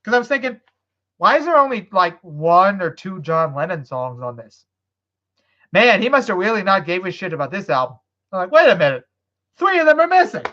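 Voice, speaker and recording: A woman's voice: mid-range voice, sing-song, a little fast, a bit bright. Woman streamer, casual rambling chat, profane and loose, dull lo-fi audio. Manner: rambling, swearing, loose after beers. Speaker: live streamer.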